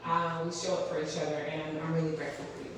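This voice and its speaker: A woman's voice: low-pitched, level tone, fast, a crowd in the background. A woman moderating a public panel discussion, speaking earnestly with frequent fillers. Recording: echoey room, mic from a distance, background noise.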